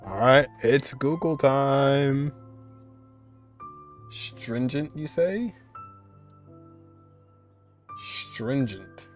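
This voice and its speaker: Male streamer, mid-pitched, casual game commentary, slangy, joking, faint music behind. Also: extremely slow, pauses often. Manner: joking, slangy asides and mock resignation. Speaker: gaming streamer.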